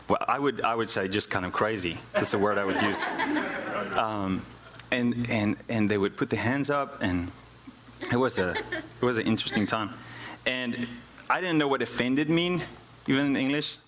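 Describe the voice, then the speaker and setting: A man's voice: lowish voice, normal speed, a crowd in the background. A South African man, a native Afrikaans speaker, giving an informal personal testimony in church, often repeating words as he searches for phrasing. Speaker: worship musician and music teacher giving a testimony.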